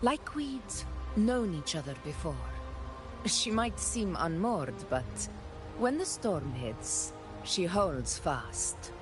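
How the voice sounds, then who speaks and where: An actress playing a stately, battle-hardened commander in a video game, speaking in a formal, solemn and deliberate manner. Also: female voice, on the low side, very animated. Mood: solemn, reflective. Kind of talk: dramatic video game dialogue. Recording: game music behind the voice.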